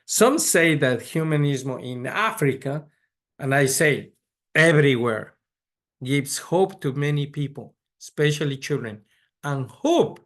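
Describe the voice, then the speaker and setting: Measured man lecturing. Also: medium pitch, fairly bright tone. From Ecuador. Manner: measured, frequent restarts and repeated words. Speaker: pediatric neurologist lecturer. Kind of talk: conference lecture.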